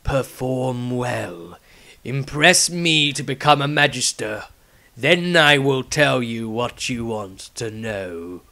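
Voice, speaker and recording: Man acting elderly male wizard lord, stern, very drawn-out measured speech, fantasy storybook character. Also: mid-range voice, lively intonation, vocal fry, sharp tone, full character voice. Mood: knowing, deliberate. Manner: slow, measured, drawn-out delivery. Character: stern old grey-haired male wizard patriarch, deliberate.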